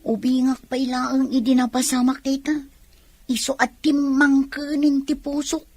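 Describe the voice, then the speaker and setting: A high-pitched, youthful female voice from a radio drama, acted by a woman. She plays an earnest student who confides wistfully, and the sound is close and dry. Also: level tone, a little slow, darkish tone, no echo. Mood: wistful, confiding.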